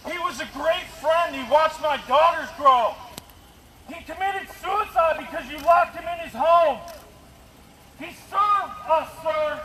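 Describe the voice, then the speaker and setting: A man giving a confrontational, accusing protest speech through a bullhorn, hammering out his words outdoors. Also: very high-pitched. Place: outdoors at a street rally, traffic noise behind. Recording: noisy echoey outdoor street recording.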